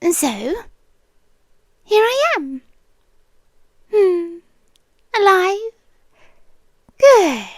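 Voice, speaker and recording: A woman's voice: high voice, no echo, full character voice. A British woman playing a possessive, doting yandere landlady girlfriend in an ASMR roleplay, cooing intimately and slipping into whispers close to the mic. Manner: cooing, breathy asides that drop into whispers. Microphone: close, dry microphone.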